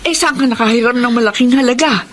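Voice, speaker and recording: Woman playing an emotional, high-pitched elderly Filipino mother. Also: fast talker, background noise, lightly acted. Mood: relieved, tearful. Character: anxious, grateful elderly mother-in-law of a young wife. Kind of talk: melodramatic Filipino radio-style drama acting.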